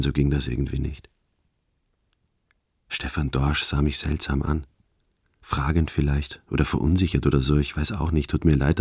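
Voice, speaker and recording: Gravelly, very deep, hesitant man. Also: darkish tone, no echo, studio quality, full character voice. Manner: halting, musing, colloquial. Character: hesitant, self-conscious male narrator, awkward and wry. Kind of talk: radio play narration, addressing listeners.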